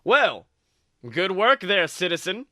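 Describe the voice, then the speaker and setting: Dude reading a chill grown-up superhero guy, bright tone. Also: high voice, sing-song, slow, dry recording, clean studio sound, exaggerated voice. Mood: easygoing. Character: adult male superhero, easygoing.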